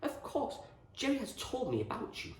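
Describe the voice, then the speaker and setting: A man voicing a small, gentle, motherly farmer's wife with a foreign accent, kindly and fussing, in a storybook. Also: very high voice, wildly swooping pitch, normal speed, pitched above the performer's own voice, heavily acted. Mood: welcoming. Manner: kindly, fussing, ends phrases with questioning tags. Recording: roomy echo, distant from the mic.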